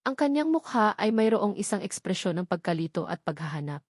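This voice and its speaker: A woman reading a scripted romance story as narrator, in a measured storytelling delivery. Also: medium pitch, very animated, no echo, studio quality.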